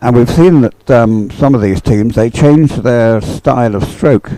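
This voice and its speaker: A man giving live race commentary, explaining things in halting phrases. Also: medium pitch, dark tone, dry recording, rough recording.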